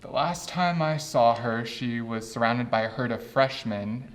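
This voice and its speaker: A man acting a boozy, sardonic, overworked graduate teacher in a comedy web series, speaking in dry, wordy deadpan. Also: mid-range voice, unhurried, lightly acted. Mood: sardonic, weary.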